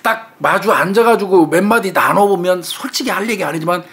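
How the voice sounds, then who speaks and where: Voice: medium pitch, brisk, fairly bright tone. A crime commentator with a Chungcheong Korean accent, talking casually through a true-crime case with many fillers and murmured agreement.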